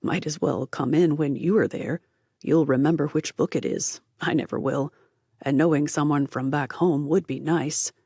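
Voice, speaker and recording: A husky, gravelly young man, put on by a woman narrator, who keeps his answers short and dry. He sounds guarded and evasive, with his words dropped into a close, dead-dry audiobook recording. The voice sits a little lower than the narrator's own. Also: fairly even intonation, moderate pace, dark tone, no room sound. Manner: dry, clipped, deflecting small talk. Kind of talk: audiobook character voice.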